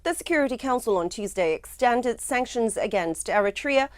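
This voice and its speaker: A woman news correspondent delivering formal, measured broadcast reports. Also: a little high, animated.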